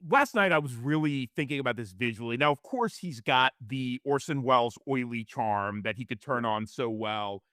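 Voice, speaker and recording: A man's voice: medium pitch, animated, normal speed, few pauses, a bit bright, dry recording, studio quality. A man discussing films conversationally as a podcast co-host.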